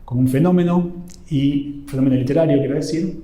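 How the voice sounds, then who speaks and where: A fairly high-pitched Argentine man speaks in a dark, mellow tone as he gives an informal literature lecture, often tagging his phrases with "¿no?". The room around him is echoey. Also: fairly quick. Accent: Argentine Spanish. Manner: conversational, keeps adding "¿no?" at the end of phrases. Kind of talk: informal academic talk.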